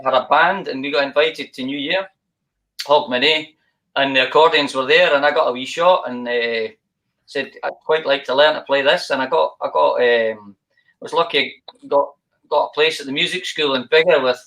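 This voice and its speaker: A man's voice: on the high side, fairly bright tone, poor audio. A Scottish man, a guest musician, telling his story in a rambling, hesitant way with plenty of ums and restarts. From Scotland. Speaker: guest accordion player. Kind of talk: casual interview storytelling.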